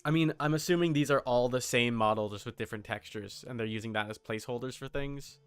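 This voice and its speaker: A man's mid-pitched voice, clear and smooth, recorded very dry and close. He reacts casually as a streamer, thinking aloud in short, broken phrases. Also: fast talker, dry recording, faint music behind. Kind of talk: casual live reaction commentary.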